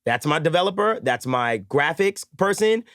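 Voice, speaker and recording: New man, slightly higher voice. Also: expressive, moderate pace, fairly bright tone, no echo, clean studio sound. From Brooklyn, New York. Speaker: podcast guest, audio engineer and plugin maker. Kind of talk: casual podcast chat. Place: podcast recording studio.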